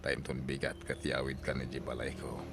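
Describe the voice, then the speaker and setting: A man's voice: rumbling low, monotone, normal speed, creaky, loud music behind the voice. A man acting an eager new husband in an Ilocano audio drama, coaxing and insistent.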